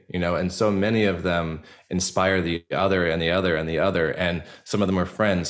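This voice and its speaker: A man speaking as a guest in a casual interview, earnest and halting, often repeating words and leaning on fillers. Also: low voice. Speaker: podcast guest, actor and storyteller.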